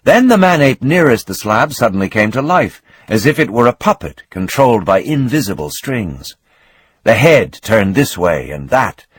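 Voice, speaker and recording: A man giving a scripted, dramatic reading of a novel as narrator, expressive with swelling emphasis and a husky voice. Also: medium pitch, moderate pace, dry recording.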